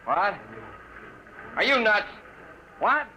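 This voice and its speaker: An actor playing a nervous, stammering young city desk editor in a 1950s radio drama. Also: male voice, high voice, sing-song, extremely slow, bright, forceful tone, exaggerated voice. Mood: startled, incredulous. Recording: noisy vintage radio recording.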